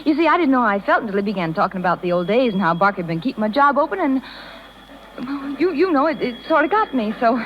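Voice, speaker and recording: An old, muffled radio drama with faint noise. An actress plays a young circus rider, high and swooping in voice, anguished yet stubborn about the life she has chosen. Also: animated, quick pace, natural voice. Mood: pleading, resolute. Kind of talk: 1930s radio drama acting. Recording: dull, noisy 1930s radio recording.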